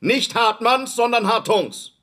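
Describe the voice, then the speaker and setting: A man's voice: extremely high pitch, animated, measured pace, bright, forceful tone, heavily acted. An actor playing a defiantly obedient manservant in a radio play, barking his words in a strained voice. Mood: defiant, shrill. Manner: barking, voice cracking upward under strain.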